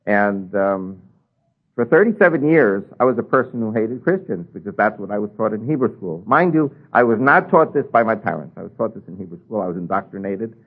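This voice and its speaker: A man's voice: fairly low pitch, animated, a little fast, breathy edge, phone-quality sound. An older man with an American accent, a native Spanish speaker, preaching a sermon and telling his own story, with frequent ums and uhs. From United States.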